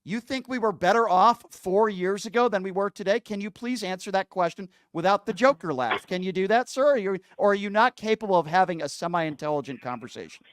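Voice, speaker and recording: A male talk radio host with a notably high, clear voice. He argues combatively and sarcastically, scolding like someone sparring with a caller. The sound is dry and close. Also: animated, a little fast, few pauses, no room sound. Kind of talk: political call-in talk show commentary.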